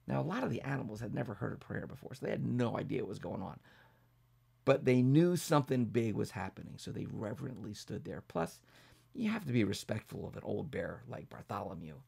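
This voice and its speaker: A man telling a children's bedtime story as a pastor, building it up dramatically from hushed to emphatic. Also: fairly low pitch, lively intonation, fairly quick, gravelly, breathy edge.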